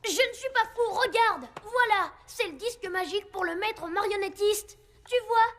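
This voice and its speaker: A woman voicing an earnest, stubborn and excitable young boy in a cartoon, with an emphatic, pleading delivery. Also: extremely high pitch, moderate pace. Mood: insistent. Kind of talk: animated cartoon dubbing.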